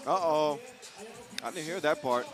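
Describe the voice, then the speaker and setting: Upbeat guy calling hoops play by play, slightly high voice, music going behind him. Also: unhurried. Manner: energetic, jokey, rises to shouts on big plays. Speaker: play-by-play commentator. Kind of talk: live basketball commentary. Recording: music playing behind the commentary.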